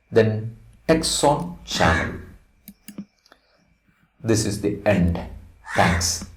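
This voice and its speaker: Slow, deliberate Indian-accented man, echoey room. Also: fairly low pitch, darkish tone. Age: middle-aged. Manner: deliberate, didactic delivery in short phrases. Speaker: English teacher. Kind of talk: reading a school project script aloud with explanation. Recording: roomy echo, distant mic, faint noise.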